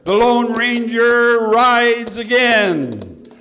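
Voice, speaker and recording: A man's voice: very high-pitched, expressive, very slow, pushed and bright, full character voice. A man playing a dramatic, declamatory announcer narrating a 1950s-style Western radio serial. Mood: rousing.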